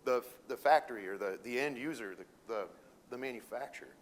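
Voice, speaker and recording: A man's voice: mid-range voice, a little fast. Middle aged guy w a minnesota accent, rambly folksy talk to a crowd, bit of background hiss. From Detroit, Michigan. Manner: folksy, rambling, many fillers and restarts. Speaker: welding sales manager presenting to educators. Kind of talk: informal presentation talk. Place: room with an audience.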